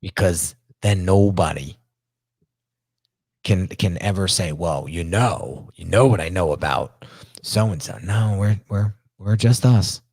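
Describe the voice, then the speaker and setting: A middle-aged man with a strong New Jersey accent and a deep voice, hosting a podcast and telling stories in casual, animated chat, often repeating and restarting words. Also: slow pace, husky, no room sound. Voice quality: deep register. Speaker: podcast host.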